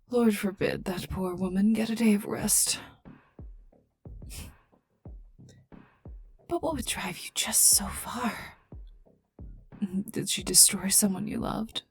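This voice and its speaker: A woman playing a cold, sardonic, menacing female scientist in an ASMR roleplay, taunting the listener in breathy half-whispers. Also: medium pitch. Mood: sardonic, coldly amused. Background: calm ambient music.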